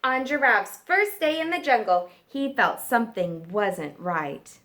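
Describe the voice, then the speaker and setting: A woman teacher reading a story aloud to young children, expressive and pausing to explain and ask them questions. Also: fairly high pitch. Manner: expressive, asks listeners questions. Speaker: kindergarten teacher.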